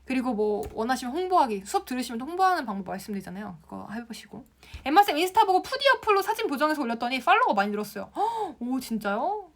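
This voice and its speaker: A woman's voice: high-pitched, big pitch swings, quick pace. A young woman from the Gyeongsang region teaching Instagram, answering viewer comments on a casual live stream and laughing often. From Gyeongsang province, Korea.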